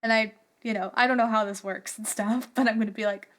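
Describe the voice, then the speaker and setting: A woman's voice: on the high side, fairly even intonation, quick pace, fairly bright tone. A woman improvising as a player in a tabletop roleplaying game, casual, earnest and hesitant in how she talks.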